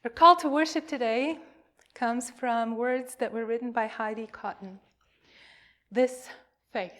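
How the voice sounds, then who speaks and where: A woman minister leading worship, delivering poetic words in a solemn, emphatic and deliberate way. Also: a little high, a bit bright. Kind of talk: call to worship, poetic reading.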